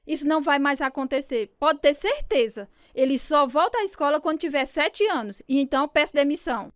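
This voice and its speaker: High-pitched formal woman schoolteacher. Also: expressive, a little fast, a bit bright, no room sound, studio quality. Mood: indignant. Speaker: actor in a radio drama. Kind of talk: dramatised radio acting.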